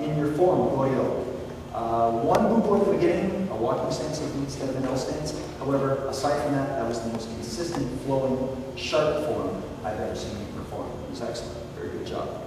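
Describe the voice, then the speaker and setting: A man with a big voice that carries, working through a student's test point by point in a measured, encouraging way. He sounds distant from the mic, and a long, booming reverb from a large hall fills in behind his words. There is steady room noise underneath. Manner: big projecting voice, methodical and encouraging. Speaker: martial arts instructor. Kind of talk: spoken feedback at a belt test. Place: large echoing training hall. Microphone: distant camera mic. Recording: echoey hall recorded from far off.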